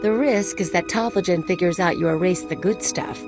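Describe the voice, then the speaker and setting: A woman playing a sly female spy in a sci-fi game. She speaks in a lowish, wry, teasing voice with music clearly behind her. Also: moderate pace, lightly acted. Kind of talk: video game voice acting.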